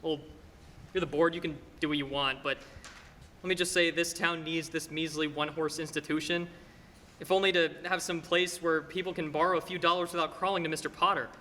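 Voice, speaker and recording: Young male actor as an earnest folksy young man, stammering, high, very bright and forceful, hall reverb, radio drama. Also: fairly even intonation, slight background noise. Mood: earnest, resigned. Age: young adult. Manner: halting, stammering, drawn-out folksy delivery. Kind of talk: filmed radio play acting. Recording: echoey hall with long reverb.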